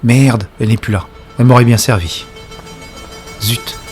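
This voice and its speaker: A man's voice: medium pitch, fairly even intonation, normal speed, faint music behind, natural voice. An actor playing an anxious, impatient man searching for his friend in a French science-fiction audio drama. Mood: weary, tense narration. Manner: alternates urgent dialogue with hushed introspective narration. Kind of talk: French audio drama acting with first-person present-tense narration.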